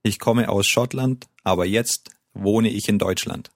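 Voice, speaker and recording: A man's voice: fairly low pitch, a little slow, dry recording, studio quality. A man co-hosting a language lesson, giving brief corrections and encouragement. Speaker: German language co-host.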